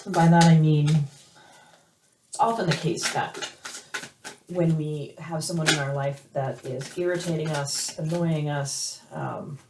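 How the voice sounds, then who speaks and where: A woman hoarse from allergies giving a weekly tarot reading, hesitant with many fillers and restarts. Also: low voice, steady tone, unhurried, far from the mic, noisy background. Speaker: tarot reader. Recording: roomy, from off the mic.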